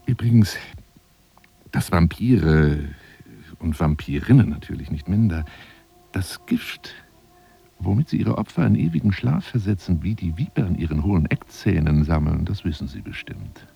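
An actor playing a self-dramatizing, obsessive big-game hunter monologuing on the telephone in a radio play, theatrical and often dropping into breathy whispers. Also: male voice, sits low, lively intonation, normal speed, soft background music, full character voice. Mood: pedantic, insistent. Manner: theatrical, swinging from hushed murmurs to agitated outbursts.

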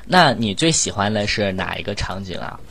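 A man's voice: fairly low pitch, animated, normal speed, gravelly, fairly bright tone, husky. A man hosting a casual cast chat, bantering and asking questions in a jokey, teasing way. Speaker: host of a voice-actor cast chat.

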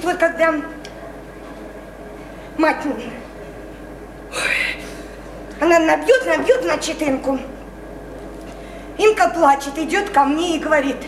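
An actress playing a naive, odd young provincial woman in a radio drama, halting and childlike, repeating herself as she pours out her feelings. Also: high voice, roomy sound, a little hiss or hum, poor audio. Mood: confiding. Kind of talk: stage-style radio drama acting.

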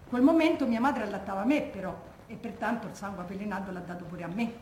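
Mid-pitched woman actress, bereaved daughter on stage; colloquial, halting, haunted testimony with roomy sound and faint noise. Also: a little mellow, natural voice. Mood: shaken, aggrieved. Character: plainspoken daughter of a massacre victim, haunted, aggrieved. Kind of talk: theatrical testimony monologue. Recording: echoey room with background noise.

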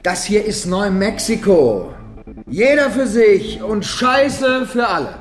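An actor playing a cynical, coarse street hustler in a radio play, with a swaggering, declamatory manner. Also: male voice, high voice, big pitch swings, slow, faint music behind, heavily acted. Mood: scornful. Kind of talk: German radio play acting.

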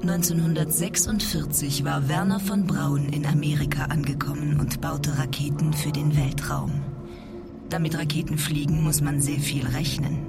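A woman playing a computer's younger lecture voice, giving measured, explanatory narration in a radio play. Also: low voice, natural voice. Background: loud music.

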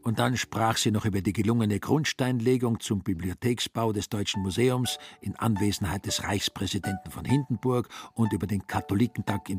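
A man narrating a literary radio drama in a scripted storytelling style, with a husky voice. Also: fairly low pitch.

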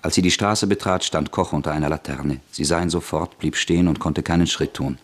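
Deep-voiced male radio-play narrator. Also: brisk.

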